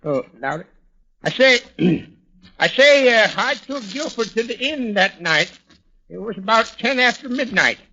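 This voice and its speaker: An actor playing a flustered, folksy old trolley motorman testifying haltingly in a 1940s radio drama. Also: very high-pitched, animated, bright, forceful tone, heavily acted. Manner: halting, repeats himself, throat-clearing. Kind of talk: 1940s radio character acting. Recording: dull 1940s radio recording, faint noise.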